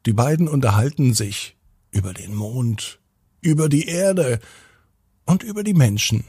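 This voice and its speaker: Man slowly narrating a children's bedtime story. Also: medium pitch, breathy edge, no room sound, studio quality. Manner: expressive, addressing a child listener.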